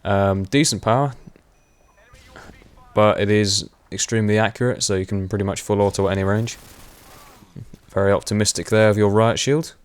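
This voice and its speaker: A young man giving casual, rambling commentary over gameplay, with many fillers and frequent laughs, his voice getting hoarse. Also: on the low side, steady tone, moderate pace, long pauses. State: voice going hoarse. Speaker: gaming commentator.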